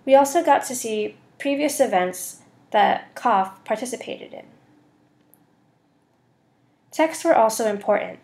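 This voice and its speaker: A woman's voice: fairly high pitch, animated, measured pace, long pauses, darkish tone. A young woman student reading a scripted voice-over for a slide presentation. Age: young adult.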